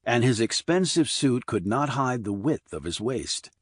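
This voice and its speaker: A man reading a scripted thriller audiobook in a measured, descriptive storytelling manner. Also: mid-range voice, big pitch swings, no room sound, clean studio sound. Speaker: audiobook narrator.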